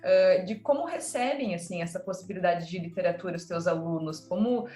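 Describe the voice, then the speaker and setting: A woman's voice: lowish voice, expressive, fairly quick, dark tone. A woman with a southern Brazilian accent interviewing conversationally, searching for words and repeating phrases as she goes. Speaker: interviewer, teacher. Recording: roomy echo, music in the mix.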